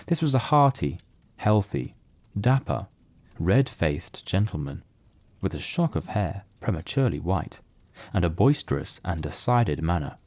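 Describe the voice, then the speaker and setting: A man narrating a literary mystery as scripted audio drama, in a measured, solemn storytelling manner. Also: sits low, darkish tone, dry recording.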